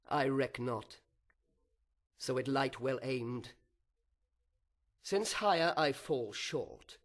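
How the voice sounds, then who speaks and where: A man performing a proud, vengeful fallen angel in an audiobook of epic verse, theatrical and bitter, with drawn-out words and breaths of whisper. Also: mid-range voice, fairly bright tone. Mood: spiteful resolve.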